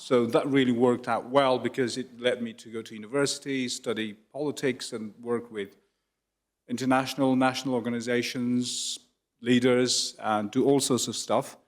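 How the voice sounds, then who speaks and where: A man from Afghanistan speaking to a theatre audience as a candidate, earnest and personal, with some self-deprecating humour. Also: mid-range voice. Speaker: parliamentary candidate. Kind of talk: candidate's opening statement. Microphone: handheld microphone.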